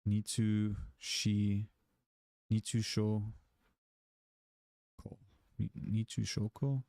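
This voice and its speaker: A man on a casual livestream, repeating words aloud as he learns, laid-back and unhurried. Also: lowish voice, level tone, vocal fry, dark tone, dry recording, studio quality.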